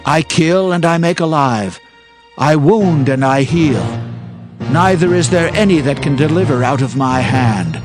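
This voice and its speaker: An actor playing a fierce, majestic avenging God, declaiming emphatically in a dramatized scripture reading. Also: male voice, a little high, animated, unhurried. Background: music.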